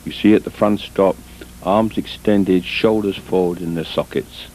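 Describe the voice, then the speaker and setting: A man giving measured, deliberate instructional sports commentary as a coach, in short phrases broken by pauses, on an old VHS tape recording. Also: steady tone, darkish tone. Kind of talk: instructional film commentary over footage. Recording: noisy, muffled old VHS tape, rumble.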